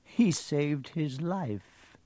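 A man's voice: a little high, lively intonation, mellow, dry recording. Guy doing old wizard headmaster from a storybook, dreamy n whimsical, lilting, kinda pleased with himself. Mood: dreamy, amused. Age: older. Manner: dreamy, unhurried, musing. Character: elderly wizard headmaster, dreamy, whimsical, gently self-satisfied.

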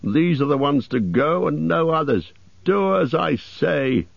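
A man's voice: medium pitch, lively intonation, measured pace, vocal fry, dry recording, dull audio. A man voicing a grumpy, bossy old male elf butler in an audiobook, grumbling and growling. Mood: bossy, gruff. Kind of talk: audiobook character voice.

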